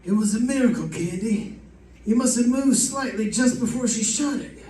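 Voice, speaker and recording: A man's voice: extremely high pitch, moderate pace, mellow. A man playing a matter-of-fact, easygoing police detective explaining things in an old-time radio drama. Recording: roomy distant stage recording, faint noise.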